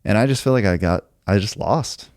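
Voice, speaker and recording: A man who writes songs, talking in a relaxed podcast conversation, thinking aloud and reflecting at length with many filler words. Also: lowish voice, brisk, no echo, clean studio sound. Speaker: songwriter.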